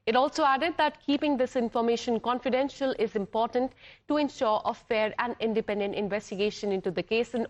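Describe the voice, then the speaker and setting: Higher-pitched Indian woman news anchor. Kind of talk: live news bulletin and handover to reporter.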